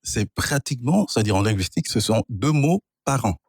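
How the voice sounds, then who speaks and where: Un homme d'origine angolaise, de langue maternelle kikongo, qui explique la linguistique d'un ton posé et professoral. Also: male voice, medium pitch, animated, husky, no echo, studio quality. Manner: measured, professorial, spells out words. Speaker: guest, linguist and author. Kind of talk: explanatory interview answers.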